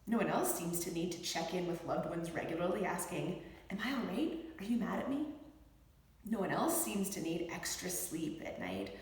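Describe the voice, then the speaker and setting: Woman, middle-aged, expressive read-aloud, deliberate phrasing, gravelly fry, heavy room reverb. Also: fairly low pitch. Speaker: physician and author reading own book aloud. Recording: very echoey room with long reverb.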